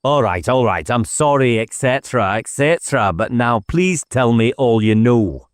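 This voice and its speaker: A man's voice: medium pitch, expressive, normal speed, no room sound, studio quality, close to an everyday voice. A man acting a blunt, sardonic police inspector. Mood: impatient. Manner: curt, sarcastic questioning.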